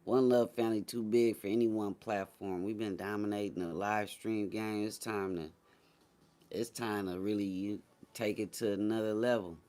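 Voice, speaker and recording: A man's voice: on the low side, steady tone, normal speed, warm tone. A man hosting a casual live stream, chatting with viewers in a slangy, boastful, teasing way.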